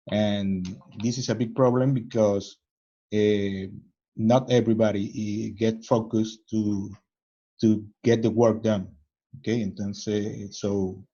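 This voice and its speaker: A man with a Venezuelan Spanish accent introduces himself, slowly searching for words. His voice is low and mellow. The audio is muffled and lo-fi, as from a video call. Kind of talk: casual self-introduction.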